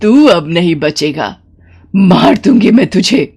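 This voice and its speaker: A woman's voice: lowish voice, lively intonation, slow, a little mellow, slightly rough, exaggerated voice. A menacing witch, the ghost of a wronged mother, put on by a woman narrator. She speaks in vengeful threats, in the style of a spooky storybook tale.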